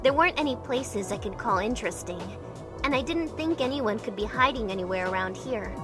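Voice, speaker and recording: A woman acts out an anxious yet curious young heroine narrating a visual novel. Her voice sits on the high side and moves in measured, introspective phrases. Music plays prominently behind her scripted first-person account. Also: lively intonation, lightly acted. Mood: musing. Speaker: narrating character in a fan dub of a visual novel.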